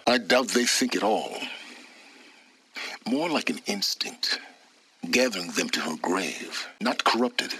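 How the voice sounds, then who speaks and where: A man's voice: low voice, pushed and bright, slightly rough, dry recording, full character voice, poor audio. An actor playing a secretive, learned middle-aged man in a video game, imperious and condescending, with formal, precise diction. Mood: cool, explanatory. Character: secretive, learned older male wanderer, imperious and impatient. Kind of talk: video game character dialogue.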